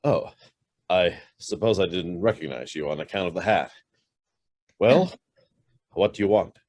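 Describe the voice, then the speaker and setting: A man's voice: sits low, measured pace, a little mellow. An actor playing a curt, demanding middle-aged businessman who is suspicious and hiding something, in a radio drama. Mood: suspicious, impatient. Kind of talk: stage-style radio drama acting.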